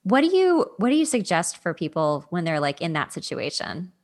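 A woman's voice: fairly low pitch, sing-song, moderate pace. Woman podcast host chatting casually. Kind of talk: casual dating advice chat.

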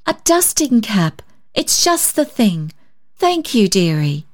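A woman reader voicing a proud, lonely spinster in a storybook, awkward and guarded, with a softer heart underneath. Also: medium pitch, sing-song, slow pace, voice pushed up. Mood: touched, awkward.

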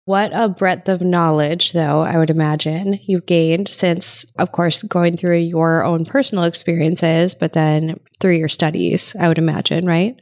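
A woman hosting a podcast speaks in a lowish voice with a gravelly edge. She reads her opening and then encourages her guest with quick, supportive replies. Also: steady tone, a little mellow. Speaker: podcast host. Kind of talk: scripted intro then interview.

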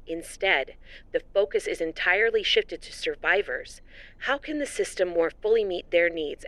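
An actress playing an assured, idealistic politician in an audio drama, delivering a formal speech in a measured, oratorical way. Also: female voice, mid-range voice, no echo, lightly acted. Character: assured, idealistic female politician giving an address.

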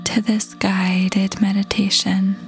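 A woman leading a guided meditation in a soft, breathy half-whisper, gentle and calm with long pauses. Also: medium pitch. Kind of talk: guided meditation, slow soothing narration. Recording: voice over prominent background music. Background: meditation music.

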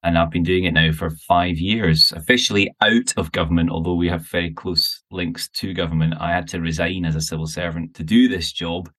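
A man's voice: sits low, fairly even intonation, fairly quick, few pauses, fairly bright tone. A middle-aged man from Glasgow with a Glaswegian accent, a guest answering interview questions in a chatty, self-deprecating way. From Scotland.

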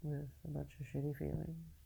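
A woman podcaster speaking off the cuff in a halting, confessional way, candid and casually profane, with a low husky voice and a downcast, weary air. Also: little pitch movement, mellow, rough recording. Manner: halting, searching, with long pauses. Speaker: podcast host. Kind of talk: unscripted personal monologue, confessional.

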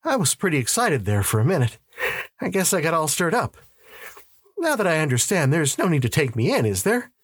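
A man's voice: a little high, sing-song, normal speed, husky, no room sound, studio quality, voice pushed up, heavily acted. A man voicing a panicked, bewildered middle-aged shopkeeper in an audiobook, pleading with breathless urgency. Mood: anxious, relieved. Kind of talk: dramatised audiobook reading.

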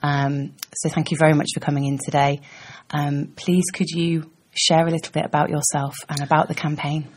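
A woman's voice: low-pitched, flat delivery, moderate pace, husky. A woman hosting a podcast, asking polite, hesitant questions with many ums. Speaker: podcast host. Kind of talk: podcast interview.

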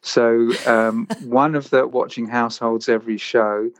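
A man's voice: mid-range voice, fairly even intonation, unhurried, no echo. A man, a theatre creative director, answering interview questions conversationally and explaining a show. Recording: slightly dull, band-limited sound.

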